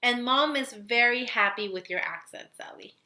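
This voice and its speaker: Bright-voiced young woman on a podcast. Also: on the high side, lively intonation. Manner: supportive listener, quick agreeing interjections. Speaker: podcast co-host. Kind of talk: casual chat.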